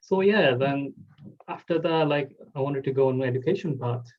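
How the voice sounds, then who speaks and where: A man's voice: mid-range voice, moderate pace, lo-fi sound. A man, hosting a casual interview and talking loosely with many "like" fillers. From Bangladesh. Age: 20s. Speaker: host.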